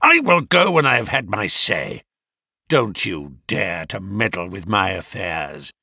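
A man's voice: lowish voice, big pitch swings, unhurried, creaky, bright, forceful tone, husky, dry recording, clean studio sound, voice pushed down, full character voice. Old brute of a villain played by a man: irate, threatening, snarly, very dynamic delivery for an audiobook. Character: furious, menacing, huge old male villain, a doctor.

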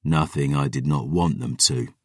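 A man voicing a bitter, scornful fallen warrior in an audiobook, low and weary with a sardonic manner. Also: steady tone, normal speed, darkish tone, clean studio sound. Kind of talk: dramatic audiobook fantasy dialogue. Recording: roomy echo, distant from mic.

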